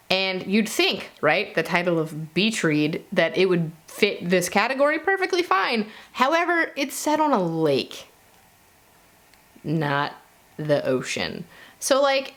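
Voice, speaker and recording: A woman's voice: medium pitch, fairly bright tone. Casual, chatty woman reviewing for viewers. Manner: chatty, informal, peppered with "like". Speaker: book reviewer and video host. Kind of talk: casual monthly reading wrap-up to viewers.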